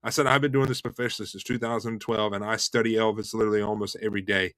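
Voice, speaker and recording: A young man hosting a casual interview chat over a video call, relaxed and chatty, often repeating words and saying "man" and "dude". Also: on the low side, racing, dry recording. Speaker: host and Elvis tribute artist.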